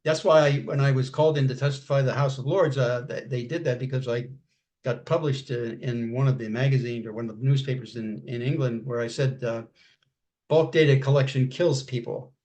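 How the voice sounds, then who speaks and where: Halting older man, clearing his throat. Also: mid-range voice, fairly even intonation, a little mellow. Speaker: guest. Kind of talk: casual interview chat.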